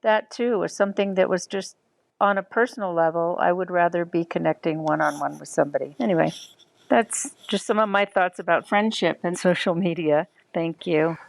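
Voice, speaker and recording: A woman's voice: on the low side, moderate pace, vocal fry, no echo, poor audio. A middle-aged woman sharing thoughtful, hesitant reflections on friendship, with frequent ums. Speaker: listener commenting. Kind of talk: reflective personal commentary.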